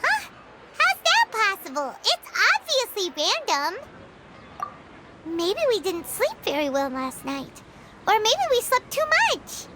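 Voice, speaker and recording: A woman voicing a tiny, excitable childlike female fairy companion in a video game, with a squeaky voice. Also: slow pace, very noisy, heavily acted. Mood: puzzled, curious. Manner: excitable, refers to self in third person. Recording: background music throughout.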